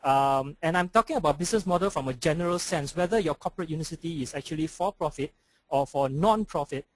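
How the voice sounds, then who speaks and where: A man's voice: a little high, fast talker, no echo, poor audio. A man from Malaysia with a Malaysian English accent, giving a conference presentation with frequent hesitations. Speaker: conference speaker. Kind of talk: webinar presentation.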